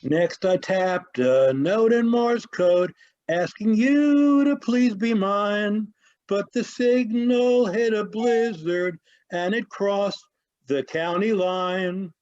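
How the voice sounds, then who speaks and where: A man's voice: sits high, slow pace, darkish tone. A man performer reciting rhyming verse in readers theatre. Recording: dull lo-fi recording.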